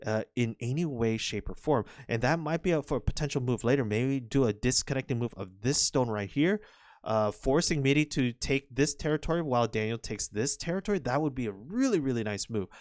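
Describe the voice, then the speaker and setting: A young man commenting on a Go match, chatty and rambling, his voice a little hoarse from overuse. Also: medium pitch, expressive, a little fast, dry recording, clean studio sound. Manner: chatty, rambling, frequent restarts and fillers. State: slightly hoarse, recovering voice. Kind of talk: casual game commentary.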